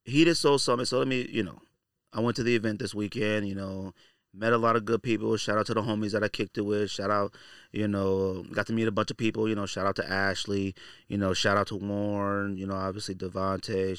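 A man's voice: lowish voice, a bit bright, clear, resonant tone, no room sound, studio quality. An American man hosting a podcast solo, talking casually in a slangy, conversational, emphatic way. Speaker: sneaker podcast host.

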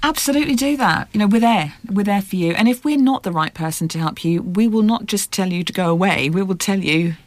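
A woman's voice: medium pitch, a little fast, hardly any pauses. A woman speaking for a support charity, direct and reassuring in conversation. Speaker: support charity representative, interview guest. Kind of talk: conversational public appeal.

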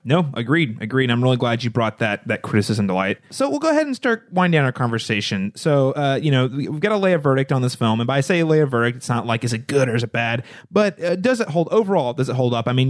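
Fast-talking male podcast host. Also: mid-range voice, sing-song, no echo, clean studio sound. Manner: agreeable, brisk, steers the talk. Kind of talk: casual podcast discussion.

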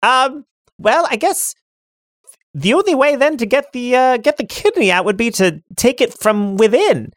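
Guy doing a high squeaky witch lady, cackly and rambly, all over the place. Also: animated, a little slow, no room sound, studio quality. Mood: rambling. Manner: cackling, rambling, silly wordplay. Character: zany rambling female dimensional witch.

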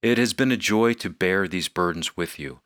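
A man's voice: low-pitched, normal speed, a bit bright, no room sound, clean studio sound. A man with an American accent reading scripted announcements as co-host of a radio program.

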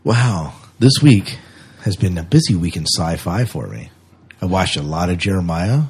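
A man with a low, slightly rough and husky voice co-hosts a podcast. He chats casually and unscripted, often doubling back to repeat a phrase. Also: big pitch swings, moderate pace, darkish tone. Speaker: podcast co-host.

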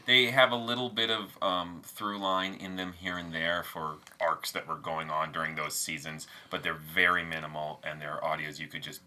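A man's voice: deep voice, flat delivery, normal speed, hardly any pauses, bright, forceful tone, slight background noise. A man co-hosting a podcast in a casual, conversational way. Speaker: podcast co-host. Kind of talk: casual chat.

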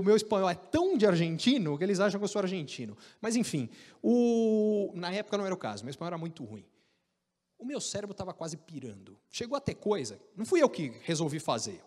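A man with a Brazilian Portuguese accent telling an animated, joking story about his career, with frequent restarts. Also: sits high, in a large hall. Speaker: speaker recounting career story. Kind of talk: casual storytelling talk.

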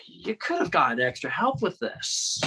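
A man's voice: high-pitched, huge pitch swings, unhurried, sharp tone, a little hiss or hum, full character voice. A young actor playing a distraught teenage boy who stammers through emotional outbursts. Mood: worried. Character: distraught teenage boy Noah, anxious student. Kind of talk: student audio drama.

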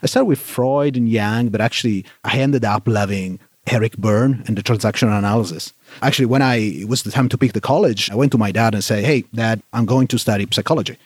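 A man from Italy with an Italian accent, a guest on a podcast telling his story in lively, slightly halting English. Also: fairly low pitch, breathy edge, dry recording. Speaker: guest, safety director. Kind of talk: casual interview chat.

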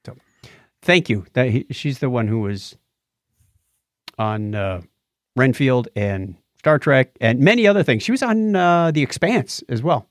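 A man speaking as a podcast co-host, giving an unscripted review in a halting, conversational way that often restarts phrases. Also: mid-range voice, animated, no room sound, studio quality. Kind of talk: casual unscripted review chat.

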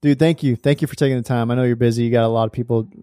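A man's voice: medium pitch, fairly even intonation, very fast, dark tone, clean tone, dry recording, clean studio sound. A man hosting a podcast, friendly and affirming, chatting casually with a guest. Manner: friendly, affirming, laughs often. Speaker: podcast host. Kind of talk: casual interview chat.

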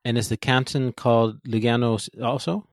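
A man hosting a podcast, asking questions in a relaxed, chatty way with frequent "you know"s. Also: mid-range voice, brisk, no room sound, studio quality. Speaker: podcast host. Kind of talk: casual interview.

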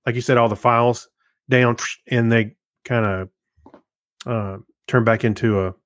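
Halting man chatting casually, dry sound. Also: mid-range voice, fairly even intonation, no echo. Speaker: podcast co-host. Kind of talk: casual tech chat.

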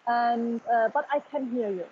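A woman's voice: a little high, normal speed, a little hiss or hum. A middle-aged woman from eastern Germany with a German accent, answering interview questions over a dull remote call, sometimes searching for words. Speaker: podcast guest. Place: half-open office in tropical rain.

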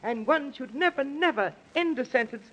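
An actor playing a pompous, frantic, stammering professor in a 1930s children's radio comedy. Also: male voice, extremely high pitch, expressive, moderate pace, pushed and bright, heavily acted. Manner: stammering, repeating himself, overblown alliterative oratory. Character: frantic, pompous, loony male professor prosecuting in mock court. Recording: muffled vintage 1930s radio recording.

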